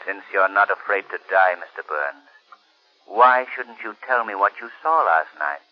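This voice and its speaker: An actor playing a mysterious invisible crime fighter in 1930s radio style, calm, measured and commanding. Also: male voice, deep voice. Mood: probing. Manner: measured, deliberate, authoritative. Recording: muffled old 1930s radio recording.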